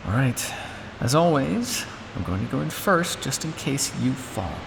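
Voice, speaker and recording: A cynical vampire scientist, acted by a man, speaks close and intimately in an ASMR roleplay. His medium-pitched voice moves expressively between sardonic jabs and weary condescension. A long reverb trails behind his words. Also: big pitch swings, a little slow, vocal fry, noisy background. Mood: measured, planning. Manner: sardonic, condescending, with exasperated sighs and dry asides.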